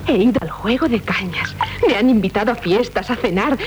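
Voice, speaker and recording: An actress playing an eager, adoring seventeen-year-old noble daughter in Spanish radio theatre, girlish and excitable, with a Castilian accent. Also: mid-range voice, fairly quick, close to an everyday voice. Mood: playful. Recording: noisy 1970s radio drama recording.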